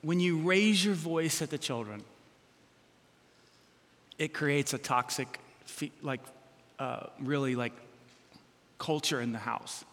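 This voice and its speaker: Bright-voiced man preaching in an echoing hall. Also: a little high, slow pace, long pauses. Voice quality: loud voice. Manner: animated, self-deprecating storytelling with sudden loud bursts. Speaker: pastor. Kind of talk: sermon. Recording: long hall reverb.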